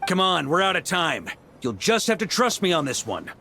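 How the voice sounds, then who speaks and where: Breezy knight commander from a video game, super animated, theatrical but in charge, bit of background ambience. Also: male voice, high voice, moderate pace, background noise. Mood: urgent, assured. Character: confident middle-aged male knight commander, breezy yet resolute. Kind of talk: scripted game dialogue.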